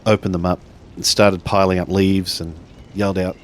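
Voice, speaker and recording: A man narrating a tabletop roleplaying game in a halting way, building his sentences bit by bit. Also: deep voice, flat delivery, slight background noise. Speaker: tabletop RPG player.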